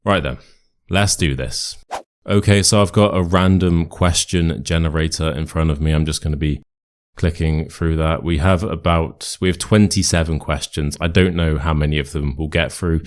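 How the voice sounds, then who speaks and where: British guy w a cold, nasal and very low voice, just rambling casually to camera. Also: normal speed. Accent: British English. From England. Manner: rambling, relaxed, answers questions aloud. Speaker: English teacher.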